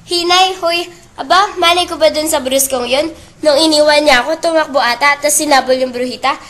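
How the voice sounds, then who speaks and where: Woman acting high, bright lovestruck Filipino schoolgirl. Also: fairly quick. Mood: irritated. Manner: expressive, slangy teenage talk. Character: lovestruck sixteen-year-old schoolgirl, chatty and easily annoyed. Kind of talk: amateur radio drama acting.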